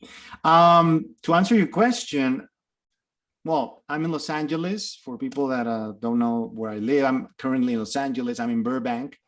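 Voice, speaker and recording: A man's voice: mid-range voice, moderate pace. Mexican accent guy, middle-aged, chatty actor telling stories, lots of restarts. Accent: Mexican Spanish. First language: Spanish. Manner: animated storyteller with frequent restarts and laughs. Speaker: guest actor. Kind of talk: casual interview chat.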